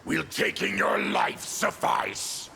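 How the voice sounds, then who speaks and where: A man voicing a savage, diseased mutant warrior and bitter former soldier in a video game, with a gravelly, menacing, forceful growl. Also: sits high, big pitch swings, takes it slowly, heavy background noise, full character voice. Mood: accusing. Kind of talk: video game fighting character dialogue.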